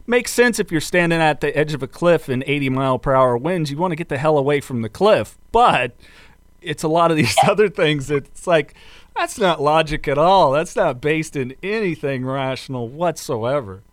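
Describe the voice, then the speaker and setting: A man's voice: fairly high pitch, expressive, normal speed, no echo. An American man hosting a casual interview, talking in a rambling, roundabout way. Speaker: podcast host.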